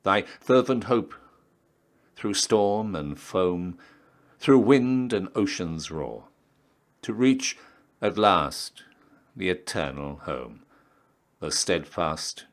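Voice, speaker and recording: A man reciting poetry in a measured, solemn and theatrical way, often letting his line endings drop to a hush. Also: low-pitched, animated. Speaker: poetry reader, town crier.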